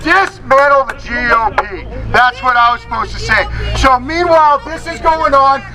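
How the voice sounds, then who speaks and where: A man's voice: very high voice, moderate pace, over music. A man giving an impassioned political speech at an outdoor rally, emphatic and full of rousing rhetorical questions. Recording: outdoor rally with crowd and traffic noise.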